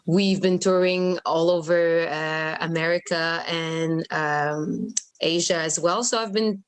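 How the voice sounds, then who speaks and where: A woman's voice: lowish voice, steady tone, slow pace, smooth. A young adult woman from Quebec, a native French speaker with a strong Quebec French accent, answering as a guest singer in an interview, hesitant with many fillers and frequent laughs. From Quebec, Canada. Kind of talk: interview conversation.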